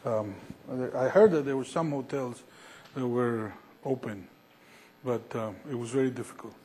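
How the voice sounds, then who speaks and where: A middle-aged man from Peru, an engineer answering audience questions after a lecture, talking in a halting way with many fillers and restarts, recorded on a lapel mic in a lecture hall. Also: mid-range voice, warm tone, slight background noise. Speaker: structural engineer presenting. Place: lecture hall with projection screen.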